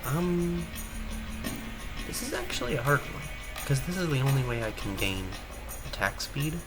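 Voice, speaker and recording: Man, mid pitch, lively intonation, casual gameplay narrator, quiet voice pushed louder, game music loud underneath. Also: moderate pace. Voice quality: normally quiet voice, projecting louder. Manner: relaxed thinking aloud while choosing upgrades. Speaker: gameplay commentator.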